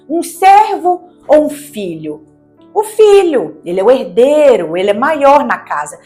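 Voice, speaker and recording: A woman with a Brazilian accent from Minas Gerais gives a devotional Bible teaching, reading and explaining in a didactic, conversational way with rhetorical questions. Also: fairly high pitch. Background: background music.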